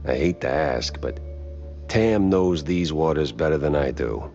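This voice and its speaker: A man's voice: extremely low pitch, expressive, a little slow, a little mellow, lo-fi sound, faint music behind. A man playing a weary, hardboiled mouse private detective in a noir cartoon game, dry and sardonic. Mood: dry, musing.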